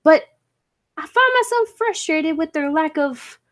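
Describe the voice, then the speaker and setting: A fairly high voice with a very slow, deliberate delivery. A woman plays a skeptical scientist, earnest and emphatic, in the manner of 1940s radio drama. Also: animated. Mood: frustrated lecturing. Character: Dudley, skeptical, intense scientist and professor. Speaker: amateur drama club actor. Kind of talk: 1940s radio play acting.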